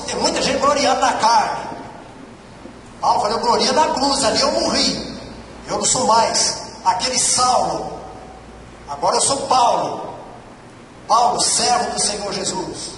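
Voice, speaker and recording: A man's voice: very high voice, a bit bright. A man, preaching an earnest sermon and repeating his key phrases to exhort the congregation. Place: large church hall. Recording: echoey church hall from far off, noisy.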